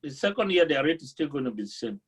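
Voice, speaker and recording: A man's voice: a little high, big pitch swings, quick pace, husky. A man from Cameroon, an insurance representative, earnestly explaining a group policy at a meeting.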